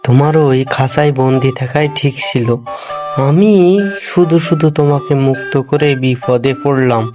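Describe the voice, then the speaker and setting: A man's voice: mid-range voice, steady tone, moderate pace, music in the background, close to an everyday voice. An actor playing a clever, fretful Brahmin pandit in a cartoon fable, a man's voice. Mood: worried. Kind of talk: cartoon voice acting.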